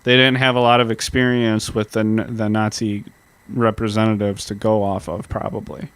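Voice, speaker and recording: A man co-hosting a casual history podcast, talking earnestly and reading historical accounts slowly. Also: lowish voice, level tone, fairly bright tone, clear, resonant tone, no echo. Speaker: podcast co-host.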